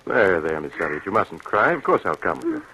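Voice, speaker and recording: An actor playing a grave, kindly doctor who tells eerie tales in a measured, formal, ominous way, in 1940s radio drama style. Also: male voice, sits low, very animated. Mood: calm concern. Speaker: narrator and doctor. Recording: noisy vintage radio recording.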